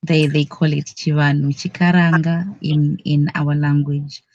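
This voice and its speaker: A woman's voice: deep voice, level tone, measured pace, darkish tone, no echo, lo-fi sound. A woman from Zimbabwe, a guest on a radio interview, chatting and laughing often between phrases. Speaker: guest, cultural advocate.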